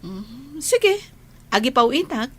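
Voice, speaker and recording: High, slow weary self-sacrificing daughter. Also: female voice, big pitch swings, slightly rough, full character voice. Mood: reluctant, subdued. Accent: Filipino, Ilocano. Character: Mini, self-sacrificing sickly eldest daughter working abroad, weary. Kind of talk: radio drama acting.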